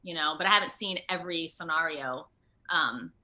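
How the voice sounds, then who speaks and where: A woman chatting casually and informally as an actor guest in an interview, in an animated, conversational way. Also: low voice, moderate pace. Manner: animated, chatty, informal with frequent "like" and "you know". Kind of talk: casual video interview chat. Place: at home, indoors on a video call.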